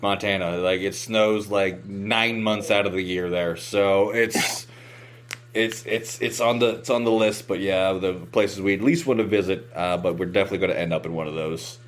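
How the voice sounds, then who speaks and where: Low-voiced American man chatting casually. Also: steady tone, normal speed. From West Virginia, United States. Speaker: podcast host. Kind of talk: casual chat, telling anecdotes.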